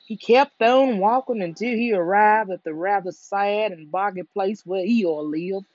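A storyteller reading a children's book aloud for bedtime in a soothing, deliberate way. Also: mid-range voice, darkish tone, no room sound, muffled recording.

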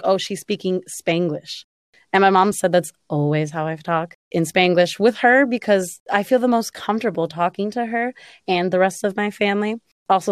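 Woman telling a family story, lower voice. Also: no echo, studio quality. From Los Angeles. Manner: casual, mixes Spanish phrases into English. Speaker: actress guest. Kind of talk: personal storytelling.

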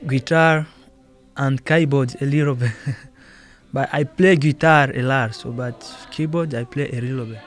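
A man with a Congolese accent answering interview questions in halting, non-native English, often repeating words and laughing. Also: medium pitch, faint music behind. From Democratic Republic of Congo. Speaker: guest musician.

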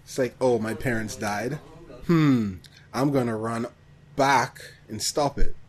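A man's voice: medium pitch, takes it slowly. A man co-hosting a podcast in casual chat, with a questioning, play-acting way of talking. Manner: questioning, acts out mock dialogue. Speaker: podcast co-host. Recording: noticeable background noise.

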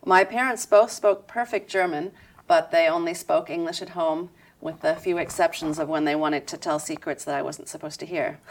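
A woman answering interview questions in a measured, reflective way, with soft "um" fillers. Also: deep voice, steady tone, poor audio. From United States. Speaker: interview guest.

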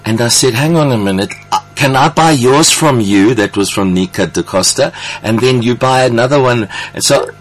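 A man's voice: medium pitch, moderate pace, faint music behind, rough recording. A man hosting a conversational interview in an effusive, encouraging way. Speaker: radio or podcast interview host.

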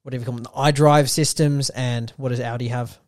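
A man co-hosting a casual car podcast, talking in a relaxed, opinionated way with frequent fillers. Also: medium pitch, fast talker, dry recording, studio quality. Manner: relaxed, opinionated, says um and yeah often. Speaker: podcast co-host. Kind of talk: casual chat.

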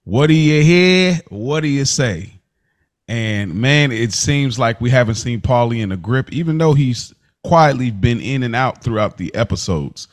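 A man's voice: medium pitch, expressive, measured pace, dry recording. A man hosting a casual recap podcast, talking in colloquial, slangy phrasing. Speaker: podcast host.

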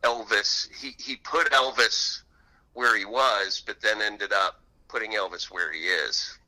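A man speaking as an author guest in an interview, telling stories conversationally and acting out the odd quote in an animated way. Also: on the low side, unhurried, poor audio.

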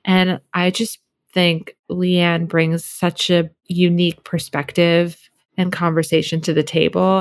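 A woman's voice: lowish voice, no echo, studio quality. A woman hosting a podcast, upbeat and encouraging as she introduces and questions her guest. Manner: upbeat and warm-toned, encouraging. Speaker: podcast host.

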